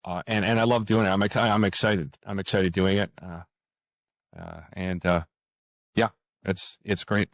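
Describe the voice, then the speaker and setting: A man's voice: deep voice, fairly even intonation, moderate pace, no echo. A man speaking reflectively as an interview guest, earnest and hesitant, often repeating words and phrases. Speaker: author, podcast guest.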